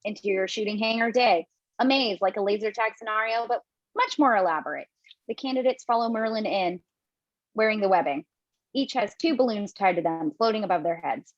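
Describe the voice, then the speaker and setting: A woman's voice: medium pitch, fairly quick, dry recording, highs cut off. A woman reading scripted stage directions as narrator at a table read.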